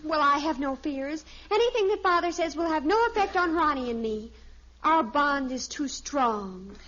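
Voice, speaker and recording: Headstrong American sitcom teenage girl, high, theatrical, on a muffled old radio recording. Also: expressive, normal speed, a bit bright, slight background noise. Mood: upset, defiant. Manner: earnest, melodramatic. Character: dramatic, lovestruck, headstrong teenage daughter. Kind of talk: 1950s radio sitcom acting.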